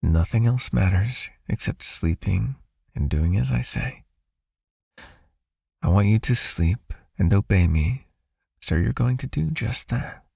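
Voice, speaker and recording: A man voicing a possessive, soothing vampire hypnotist in an ASMR roleplay, with a hushed, coaxing manner and a raspy, creaky, breathy voice. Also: lowish voice, expressive, slow pace, no room sound, clean studio sound, exaggerated voice. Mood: soothing, commanding. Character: possessive soothing male vampire hypnotist, controlling yet caring.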